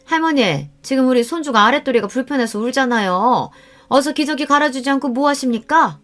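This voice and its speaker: A woman's voice: high voice, animated, fairly quick, a bit bright, faint music behind, pitched above the performer's own voice. A woman voicing a haughty, contemptuous middle-aged in-law who orders others about in a radio story drama. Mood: bossy, demanding.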